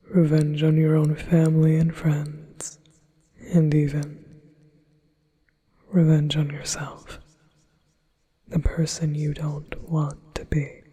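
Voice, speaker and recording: A man's voice: a little high, warm tone, breathy edge. A man whispering as a possessive yandere demon. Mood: coaxing. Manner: slow soothing whisper. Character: possessive manipulative male demon offering a contract. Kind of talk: ASMR hypnosis roleplay.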